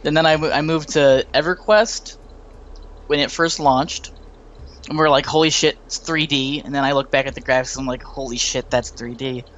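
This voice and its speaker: A man in his late twenties chatting casually about games, telling quick anecdotes in a talkative way. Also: mid-range voice, steady tone, fairly bright tone, dull audio, slight background noise. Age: late 20s. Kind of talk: casual gaming chat.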